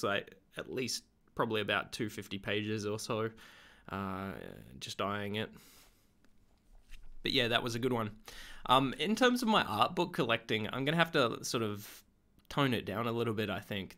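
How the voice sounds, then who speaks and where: A young man, slightly hoarse from being sick, chatting in a relaxed, rambling way with lots of ums in a video diary at home. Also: on the low side, moderate pace. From Australia. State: slightly hoarse, getting over an illness. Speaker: vlogger.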